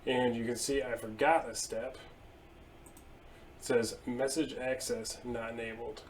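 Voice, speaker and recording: A man in his thirties at his desk, casually explaining software settings step by step with a few fillers. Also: mid-range voice, little pitch movement, measured pace, a little hiss or hum. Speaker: IT tutorial presenter. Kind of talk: screen-share software walkthrough. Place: indoor room at a desk.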